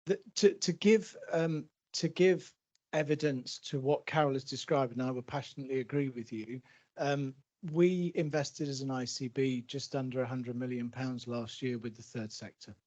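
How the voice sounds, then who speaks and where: A man's voice: mid-range voice, moderate pace, a little mellow. A man, an NHS executive, answering a question at a public meeting in an earnest, emphatic way with frequent restarts.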